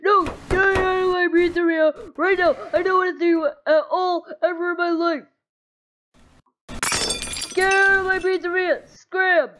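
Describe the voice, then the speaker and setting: A woman's voice: very high-pitched, steady tone, a little slow, voice pushed up. Woman as shrieking, hot-tempered evil animatronic bear. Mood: furious. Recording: noisy home recording with clicks.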